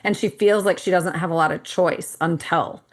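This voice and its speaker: Woman, fifties, fairly low pitch, candid, animated, casual podcast talk. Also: normal speed. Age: 50s. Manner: candid and animated, laughs often, swears casually. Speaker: podcast co-host. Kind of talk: casual conversation.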